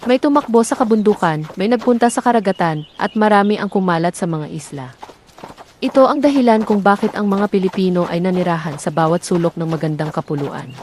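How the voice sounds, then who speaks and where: A woman's voice: mid-range voice, a little mellow, slight background noise. A woman storyteller reading a scripted folktale narration.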